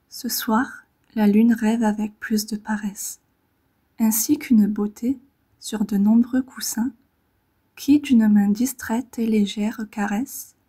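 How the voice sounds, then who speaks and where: Woman reading poems real slow and soft, breathy almost whispery, mellow voice, little pauses between phrases. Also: mid-range voice. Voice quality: soft and breathy, often fading toward a whisper. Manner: gentle, measured delivery in short phrases with pauses. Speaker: poetry reader. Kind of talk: slow, soft poetry reading in a relaxing, near-ASMR manner.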